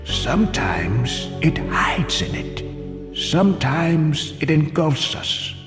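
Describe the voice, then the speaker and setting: Ominous game narrator, slow theatrical verse, echoing reverb, loud music behind. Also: male voice, fairly high pitch, big pitch swings. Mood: solemn, ominous. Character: solemn, cryptic poetic male narrator. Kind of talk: scripted poetic game narration.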